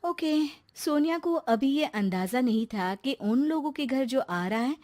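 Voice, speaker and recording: A woman's voice: high-pitched, animated, a little slow, darkish tone. A woman narrator telling a scripted cartoon story.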